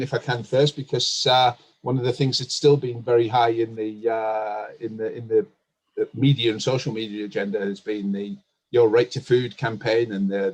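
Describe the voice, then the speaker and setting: Male host, lowish voice, friendly and halting intros. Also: darkish tone, low-quality recording. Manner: friendly, hesitant, frequent fillers. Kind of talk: casual online panel interview.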